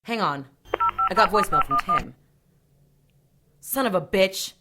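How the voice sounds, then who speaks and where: A woman's voice: mid-range voice, huge pitch swings, unhurried, background noise. A woman playing a cool, capable police detective in an audio drama, matter-of-fact with a dry edge. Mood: irritated, decisive.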